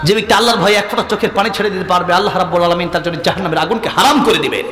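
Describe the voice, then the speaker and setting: A man with a Bangladeshi accent preaching an impassioned waz sermon, exhorting his listeners and moving between plain talk and drawn-out melodic chanting. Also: high voice, crowd noise. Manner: impassioned, exhorting, calls for responses. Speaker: Islamic preacher. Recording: echoing PA sound with long reverb.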